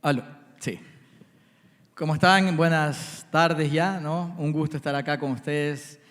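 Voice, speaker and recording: A man's voice: a little high, fairly even intonation, a little slow, a bit bright. A man from Ecuador, introducing himself as a church panelist. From Guayaquil, Ecuador. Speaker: panelist, church ministry leader. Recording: reverberant hall sound.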